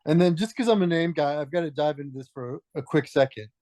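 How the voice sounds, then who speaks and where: A man talks as a podcast guest, working through his story with frequent false starts and restarted phrases. His voice sits a bit high for a man. The sound is close and dry, with no room echo. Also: fast talker, darkish tone. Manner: hesitant, restarts phrases often. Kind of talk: reflective conversational storytelling.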